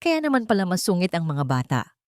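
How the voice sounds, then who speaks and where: A woman with a Filipino accent voicing a calm, unafraid young nanny in an audiobook, in a soft, casual murmur. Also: lowish voice, fairly quick, no room sound, studio quality. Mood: wry muttering. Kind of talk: audiobook character dialogue.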